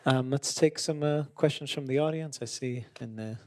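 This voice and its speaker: A man's voice: medium pitch, moderate pace, dark tone. Moderator man heard from afar. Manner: brief, directing the floor.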